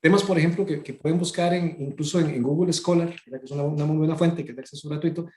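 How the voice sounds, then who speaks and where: A man answering audience questions on a video call, fast and hesitant, often repeating words. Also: a little high, fairly even intonation, mellow, echoey room. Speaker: webinar speaker.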